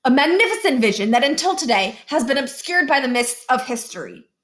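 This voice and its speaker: A showy storybook schoolboy, performed by a woman reader, pompous and grand. He declaims theatrically, raised in pitch above plain talk. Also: expressive, brisk, fairly bright tone. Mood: grandiose. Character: showy, pompous schoolboy presenting his report.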